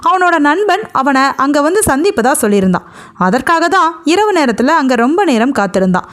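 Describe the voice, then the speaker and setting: A woman's voice: high voice, sing-song, fairly quick. A woman telling a story as a narrator, in a dramatic spoken style.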